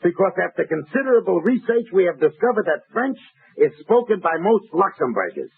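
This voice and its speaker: An actor playing a pompous middle-aged manager in a 1940s radio comedy, speaking in stiff, formal phrases and often exasperated. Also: male voice, high-pitched, big pitch swings, a little fast, gravelly, slightly rough, exaggerated voice. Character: pompous middle-aged man coaching a naive actress. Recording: scratchy lo-fi old radio broadcast.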